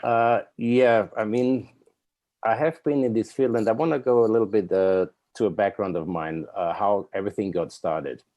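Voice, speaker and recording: Austrian man, low mellow voice. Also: steady tone, moderate pace. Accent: Austrian German. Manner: earnest, rambling, many fillers. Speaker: guest, company owner. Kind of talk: interview storytelling.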